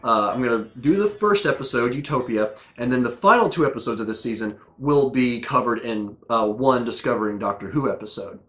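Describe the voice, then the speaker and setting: A man's voice: mid-range voice, animated, brisk, hardly any pauses, a bit bright. A man hosting his own show, chatting loosely with lots of fillers and restarts, his voice scratchy from a sore throat. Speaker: host reviewing episodes. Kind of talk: casual rambling commentary to camera.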